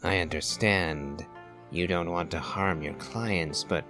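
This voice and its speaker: A man muses over a video game in a very low voice. He talks slowly and deliberately, in wordy, pondering phrases, with music clearly playing behind him. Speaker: game player and commentator. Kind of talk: slow, musing game commentary and dialogue reading.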